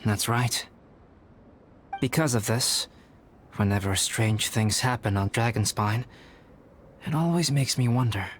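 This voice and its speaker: A man's voice: mid-range voice, slight background noise, lightly acted. Man playing a composed, aloof young scholar. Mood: calm, reflective. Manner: measured, formal, scholarly. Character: composed, aloof young male alchemist, formal and thoughtful. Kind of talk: dramatic video game voice acting.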